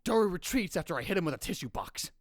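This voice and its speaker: A man's voice: sits high, quick pace, noisy background. A man voicing a dramatic young self-proclaimed mad scientist in a visual novel fan dub, theatrical and grandiose in manner. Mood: musing, narrating. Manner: theatrical, grandiose, swinging into excited exclamations. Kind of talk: visual novel fan dub, first-person narration and dialogue. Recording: music behind the voice. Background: music.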